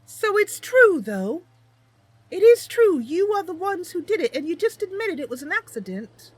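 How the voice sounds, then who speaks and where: A woman's voice: very high voice, big pitch swings, moderate pace, no echo, pitched above the performer's own voice, rough recording. A woman voicing an earnest, apologetic young female wood elf for a game roleplay, hesitant, naive and plain-spoken. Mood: candid, sympathetic.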